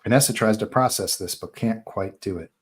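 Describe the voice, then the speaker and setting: Low-voiced man narrating a horror script. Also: brisk. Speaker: narrator reading stage directions. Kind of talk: scripted narration at live table read.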